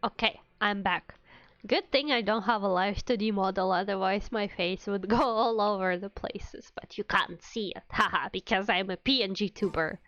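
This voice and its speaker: A woman's voice: mid-range voice, normal speed, a bit bright, dry recording, lo-fi sound. A woman streaming a game live, chatting casually and playfully to viewers. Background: faint game music.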